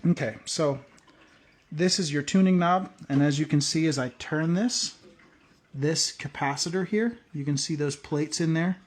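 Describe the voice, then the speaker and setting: A man's voice: a little high, a little slow. A man giving a casual, hands-on tutorial at a workbench, talking in a loose, rambling way with plenty of "uh" and "um". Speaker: prepping channel host.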